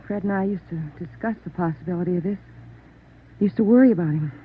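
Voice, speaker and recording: A woman's voice: fairly low pitch, fast, lightly acted. An actress playing a stoic, grieving policeman's widow, speaking with solemn restraint in a 1940s radio drama. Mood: subdued grief. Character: stoic, grieving young policeman's widow, gentle but resolute. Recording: tinny, faintly noisy old radio recording.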